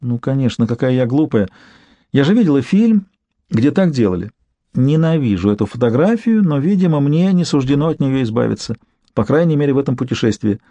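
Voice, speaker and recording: A man's voice: medium pitch, very animated, fairly quick, dark tone, dry recording, lo-fi sound, natural voice. A man reading the part of a polite, slightly coquettish married woman in an audiobook novel. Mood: chatty.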